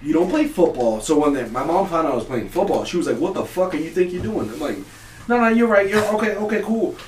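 Man, 30s, from Puerto Rico. Animated, profane storyteller, slightly high voice, recorded off-mic in a roomy space. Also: quick pace, far from the mic. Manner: animated, profane, laughs often. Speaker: podcast guest. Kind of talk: casual storytelling chat. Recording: roomy, off-mic with faint hiss.

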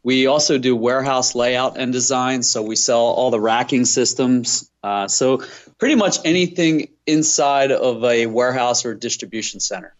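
A man's voice: medium pitch, moderate pace. A middle-aged man giving conversational answers as a business guest in an interview. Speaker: interview guest, company president.

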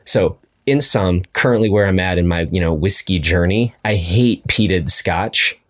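A man's voice: low-pitched, unhurried, fairly bright tone. A young man hosting a casual podcast chat, talking in a dry, joking, self-mocking way. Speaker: podcast host.

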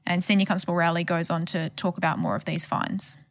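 A woman's voice: on the low side, little pitch movement, a little fast, no room sound, studio quality. An Australian woman explaining a case as a journalist in a conversational true crime podcast style.

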